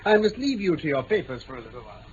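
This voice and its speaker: A self-assured English detective, precise, amused, lively intonation, old radio drama, hissy recording. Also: male voice, a little high, very fast, dull audio. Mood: urbane, knowing. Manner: theatrical, urbane, precise. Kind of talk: mid-century radio drama acting.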